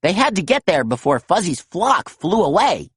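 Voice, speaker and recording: A woman narrating a children's story as an animated read-aloud storyteller. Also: fairly low pitch, moderate pace, slightly rough, no room sound, clean studio sound.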